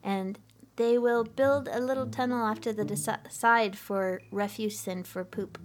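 A woman's voice: on the high side, fairly even intonation, a little slow. A woman co-hosting a podcast, chatting casually and giggling often, with frequent restarts. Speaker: podcast co-host. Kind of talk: casual chat.

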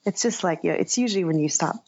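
A woman guest talks through her writing in a casual interview. Her voice sits low with plenty of fry, and she feels her way haltingly through each thought. The recording is dull and lo-fi. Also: darkish tone. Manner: halting, thinking aloud, trails into asides. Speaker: interview guest, nonfiction writer.

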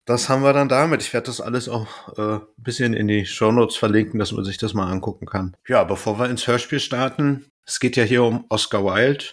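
Guy with a lowish voice just chatting away as a podcast co-host. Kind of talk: casual podcast chat.

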